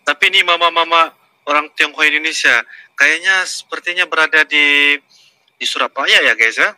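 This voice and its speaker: Bright-voiced Malaysian man reacting to clips. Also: on the high side, normal speed, clear, resonant tone, rough recording. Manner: chatty, keeps saying "guys", hesitant fillers. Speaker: reaction YouTuber. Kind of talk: casual reaction commentary.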